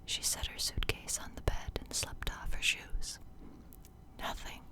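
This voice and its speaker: A woman reading fiction aloud in a hushed, ASMR-style whisper. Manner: slow, hushed, unvoiced delivery. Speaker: audiobook reader.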